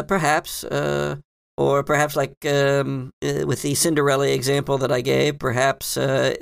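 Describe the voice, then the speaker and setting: Man voicing a hesitant, creaky-voiced lady librarian. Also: mid-range voice, no room sound. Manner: musing, halting explanations. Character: cryptic, hesitant librarian woman who knows hidden lore. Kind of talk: improvised tabletop roleplay.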